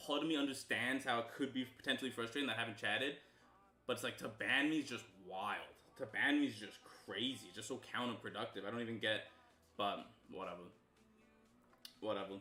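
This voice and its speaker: A young man from Canada, streaming live and chatting loosely with viewers, jumping between low mutters and loud outbursts. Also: reverberant. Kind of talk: casual stream chat with viewers.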